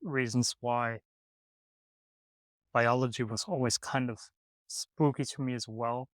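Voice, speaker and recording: Man, podcast host, casual, hesitant, middle pitch, dry sound. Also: fairly even intonation, no echo. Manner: easygoing, halting, many "um"s. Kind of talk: casual interview chat. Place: hostel room.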